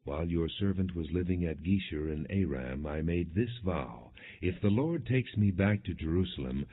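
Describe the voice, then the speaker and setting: A man narrating scripture as an audiobook, reading in a measured, formal storytelling manner. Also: low voice, expressive, slightly rough. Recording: muffled lo-fi, phone-like band.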